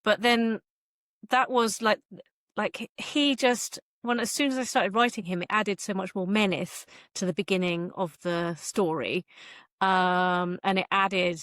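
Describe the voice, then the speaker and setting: A woman's voice: medium pitch, fairly even intonation, unhurried, a bit bright, no echo, studio quality. A woman novelist chatting casually as an interview guest, hesitant and self-effacing, with a giggly manner.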